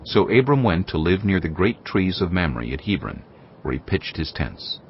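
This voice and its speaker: A man narrating scripture in a measured, grave storytelling manner. The audio is dull and lo-fi. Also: sits low, sing-song, slight background noise. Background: music.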